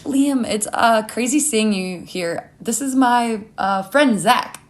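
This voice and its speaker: A woman storyteller voicing an awkward, flustered young single woman on a date, speaking haltingly. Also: on the high side. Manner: hesitant, halting with ums and uhs.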